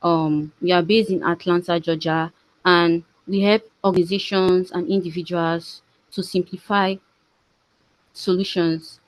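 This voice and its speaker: A woman with a fairly low voice leads an online training session as its program manager. She speaks in halting short phrases, and the sound is dry with little room. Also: steady tone, dry recording, poor audio. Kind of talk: welcoming participants and introducing a training session. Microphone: video call.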